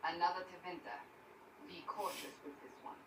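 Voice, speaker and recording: A woman playing a haughty, sharp-tongued mage adviser, poised and disdainful, in a fantasy video game. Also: on the low side, steady tone, moderate pace, lightly acted. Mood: wary, skeptical. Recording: roomy, distant, noisy game capture.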